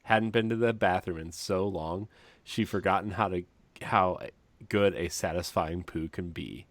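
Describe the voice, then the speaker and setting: A deep-voiced man reads aloud as a podcast co-host in a comic, dramatic style. He is giggly and tends to crack up mid-sentence. The sound is dry, with no room around it. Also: steady tone, a little slow, dry recording. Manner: giggly, often breaking into laughter while reading. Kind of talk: comic dramatic reading of book descriptions among friends.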